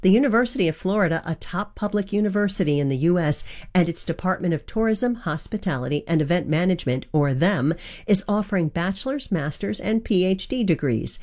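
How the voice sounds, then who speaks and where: Woman sponsor announcer, slightly low. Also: animated, a little fast, darkish tone. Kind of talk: scripted sponsor read.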